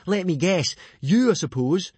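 A man voicing a sarcastic young Viking underdog in a children's audiobook, with a wry, deadpan manner. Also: high voice, unhurried, breathy edge, no echo, pitched above the performer's own voice, full character voice. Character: dry, sarcastic Viking boy, loyal underdog friend. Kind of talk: children's audiobook character acting.

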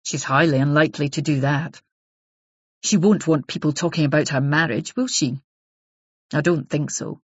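A woman voicing a calm, tactful young man who gives reassuring advice. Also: deep voice, moderate pace, pauses often, husky, no room sound, dull audio.

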